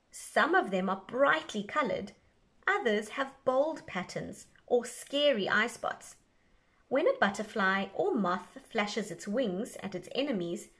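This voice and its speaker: A woman teacher reads aloud to young children. Her voice sits a little high. She takes it very slowly, with measured, instructional phrasing and carefully formed words.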